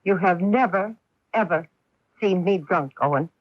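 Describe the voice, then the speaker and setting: An actress playing a nervous, defensive middle-aged wife who secretly tipples, in a radio drama. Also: female voice, lowish voice, measured pace, no echo. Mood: aggrieved. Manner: aggrieved, evasive, fretful. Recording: muffled early-1980s AM radio broadcast.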